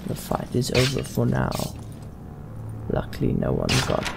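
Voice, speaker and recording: Slow-paced man with a very gravelly creak. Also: medium pitch, wildly swooping pitch, very noisy. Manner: measured, speaking as a fantasy party leader. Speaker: let's play host. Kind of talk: in-character roleplay game commentary. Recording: game music behind the voice. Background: fantasy video game music.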